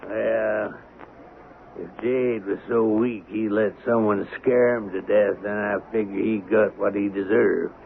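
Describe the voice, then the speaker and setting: Gravelly, slow-talking crooked western gambler. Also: male voice, lowish voice, steady tone. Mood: scornful, breezy. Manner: mocking, blustering, folksy drawling. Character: crooked Old West gambler, brash, scornful, ruthless. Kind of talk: 1970s radio drama acting. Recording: narrow-band vintage radio recording with faint hiss.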